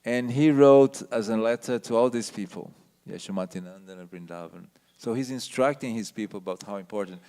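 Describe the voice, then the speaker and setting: A man with a low voice giving an emphatic lecture on a handheld mic, asking the audience questions as he goes through slides. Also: a little fast, few pauses, mellow, clear, resonant tone. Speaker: visiting speaker giving a temple talk. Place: temple hall with an audience.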